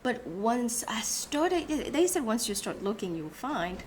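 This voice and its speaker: A woman's voice: on the high side, sing-song, takes it slowly, slight background noise. A woman born in Haiti and raised in the United States, hosting a reflective solo talk, thinking aloud with many restarts and fillers. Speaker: host.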